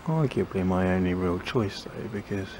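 A man's voice: sits low, moderate pace. A man chatting casually to camera as he plants in his backyard, musing and second-guessing himself, often trailing off. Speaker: gardening vlogger. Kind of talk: casual thinking-aloud narration while gardening. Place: small backyard garden outdoors. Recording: noisy outdoor ambience.

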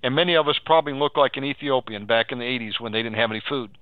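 A man's voice: mid-range voice, racing, no echo. A man preaching and counselling live on the radio, urging and exhorting, repeating phrases and asking leading questions. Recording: tinny phone-like radio audio.

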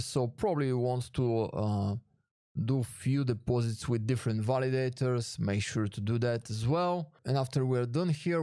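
A man's voice: medium pitch, warm tone, dry recording, clean studio sound. A man hosting a casual step-by-step screen tutorial, thinking aloud with some hesitation as he demonstrates. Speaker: tutorial host.

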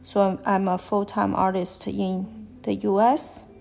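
Woman with Chinese accent, unhurried, thinking aloud as she draws, faint music behind. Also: mid-range voice, level tone, vocal fry, warm tone. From China. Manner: halting, thinking aloud while drawing. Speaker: fashion illustrator. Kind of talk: live-stream drawing commentary. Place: at a desk, drawing on paper.

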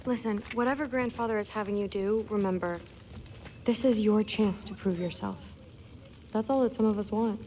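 A woman's voice: mid-range voice, normal speed, mellow, long reverb tail, slight background noise, natural voice. A young woman playing a friendly, eager, proud teenage girl warrior in training in a video game, talking in a casual, teasing way. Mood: friendly, confiding. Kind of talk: video game cutscene acting.

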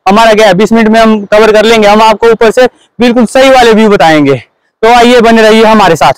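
High-voiced young man vlogging. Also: fairly quick, clear, resonant tone, dry recording, rough recording. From Himachal Pradesh, India. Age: 20s. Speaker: travel vlogger. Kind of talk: casual vlog chat to camera. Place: outdoors on a forested mountain trail.

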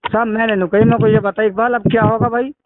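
A man's voice: high voice, flat delivery, quick pace, dry recording. A man with a Mewati accent complaining over the phone in a rambling, aggrieved way, swearing at times. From Mewat region, India. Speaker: caller making a complaint. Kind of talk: phone conversation, recounting a grievance. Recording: narrow-band, tinny phone call recording.

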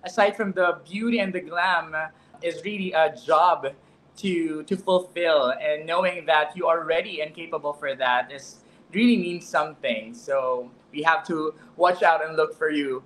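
A young man hosting an online interview over a video call, speaking haltingly with fillers and repeated words. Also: a little high, fairly bright tone, poor audio. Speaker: host, interviewer. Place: indoor room. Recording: faint background noise.

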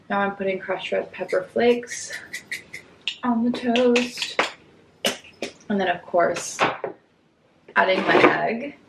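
A woman's voice: mid-range voice, gravelly, reverberant, noisy background. A young woman in her twenties chatting casually through a home cooking vlog, hungover, giggly and full of likes and ums. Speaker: cooking vlogger. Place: home kitchen and dining room, indoors.

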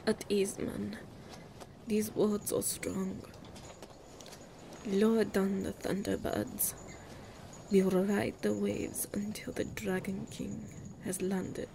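A woman's voice: mid-range voice, level tone, takes it slowly, gravelly, long pauses, noisy background. A woman playing a regal, commanding empress of monsters in a fantasy audio roleplay, speaking in a stately, formal manner. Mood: stately, grave.

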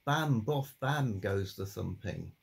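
An older man hosting a relaxed, rambling chat about old books from his home study, with a calm delivery and many fillers. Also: lowish voice, slow pace, gravelly, breathy edge. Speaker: book channel host.

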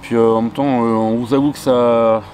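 A man's voice: medium pitch, little pitch movement, normal speed, a little mellow, soft background music. A young man chatting casually outdoors in a woodland as co-host of a bushcraft channel, talking hesitantly with many fillers and restarts. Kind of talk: casual chat.

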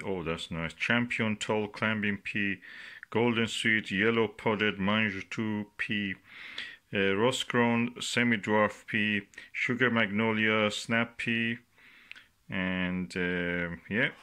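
A man giving casual, unscripted commentary as he shows items, speaking haltingly in short phrases with pauses between them. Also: lowish voice, pushed and bright. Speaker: gardening vlogger. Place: indoors at a work table. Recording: noisy home recording with clicks and rustling.

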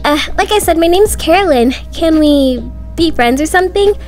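A woman's voice: very high-pitched, expressive, normal speed, darkish tone, soft background music. A woman voicing a chatty, insecure fourteen-year-old cheerleader in a cartoon school roleplay. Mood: eager.

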